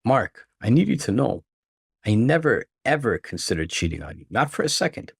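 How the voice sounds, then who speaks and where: A man's voice: mid-range voice, sing-song, normal speed, creaky, husky, no echo, full character voice. A man voicing a loyal, indignant young wife in a story reading, with earnest, emotional emphasis. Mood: earnest, pleading.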